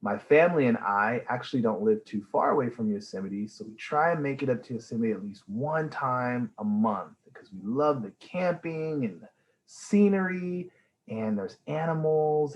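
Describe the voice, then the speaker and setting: A man reading a storybook aloud for young children in an enthusiastic, expressive way. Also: medium pitch, normal speed, low-quality recording. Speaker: read-aloud reader for children.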